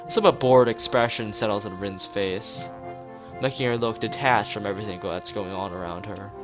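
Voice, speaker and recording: A man's voice: fairly low pitch, moderate pace. A man streaming a live read-aloud of a visual novel, stumbling over words and rereading them, with casual asides to chat. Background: guitar music.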